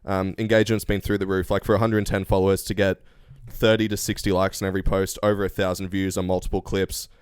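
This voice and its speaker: Fast-talking guy hosting a podcast, low smooth voice, dry mic. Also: flat delivery, no room sound. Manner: upbeat, runs the show. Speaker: podcast host. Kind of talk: casual podcast chat.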